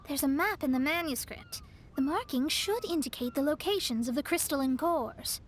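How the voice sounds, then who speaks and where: A woman's voice: sits high, animated, normal speed, a little hiss or hum. A woman playing a proud, articulate young scholar in a video game, matter-of-fact. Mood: explanatory. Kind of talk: video game cutscene acting.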